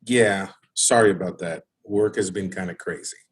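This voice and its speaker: A man's voice: sits low, normal speed, slightly rough. A man acting in an audio drama as a hurt, exasperated young father rebuffing his ex. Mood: firm, weary. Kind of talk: naturalistic scripted audio drama.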